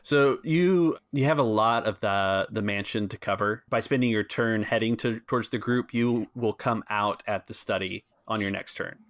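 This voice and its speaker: Low-voiced man running a tabletop game. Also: normal speed, hardly any pauses, a bit bright, dry recording. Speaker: game master. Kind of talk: improvised tabletop game narration. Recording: music playing behind the voice. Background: music.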